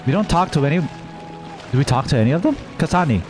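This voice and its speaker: A man's voice: on the high side, sing-song, fast talker, music in the background. A man streaming a game with casual, playful live reaction commentary, chatting to his viewers. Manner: playful, chatty, talks to chat.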